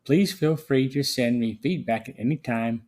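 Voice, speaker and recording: A man's voice: medium pitch, moderate pace, darkish tone. A man hosting a podcast, reading scripted narration and commentary. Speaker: podcast host.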